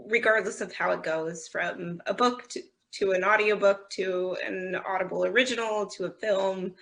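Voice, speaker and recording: A woman's voice: mid-range voice, fairly even intonation, moderate pace, gravelly, a bit bright. A woman talking conversationally as a guest on a panel discussion. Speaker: audio company executive, panel guest. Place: remote online video conversation.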